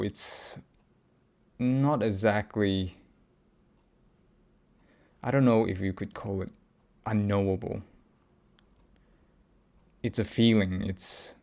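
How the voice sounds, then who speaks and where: A man recording a reflective personal audio log, speaking haltingly as he searches for words and often pauses mid-thought. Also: fairly low pitch, gravelly, darkish tone. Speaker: podcast host.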